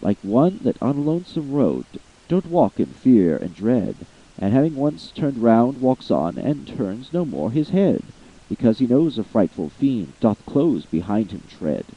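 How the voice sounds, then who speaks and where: A man giving a slow, theatrical reading of a long narrative poem, swelling with feeling at the high points. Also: big pitch swings, breathy edge, highs cut off. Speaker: amateur voice actor reading poetry. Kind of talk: dramatic poetry reading.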